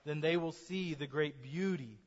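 A man preaching a sermon as a pastor, speaking earnestly and slowing down to stress key points. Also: on the high side. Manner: earnest preaching, slows for emphasis. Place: church sanctuary. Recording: dull sermon recording in a reverberant church.

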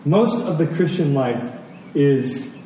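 A man preaching a sermon as a pastor, repeating key phrases deliberately for emphasis and posing rhetorical questions. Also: fairly high pitch, mellow. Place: large reverberant room, church service. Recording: very echoey hall, distant mic, faint noise.

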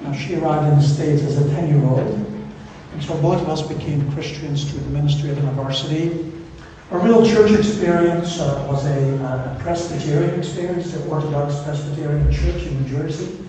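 Middle-aged man, missionary at the pulpit, earnest and halting, distant mic in a reverberant church with faint room hum. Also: fairly high pitch, warm tone. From Belgium. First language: Flemish. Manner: earnest, measured, hesitant with frequent fillers. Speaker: visiting missionary speaker. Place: church sanctuary. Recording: echoey church hall from far off.

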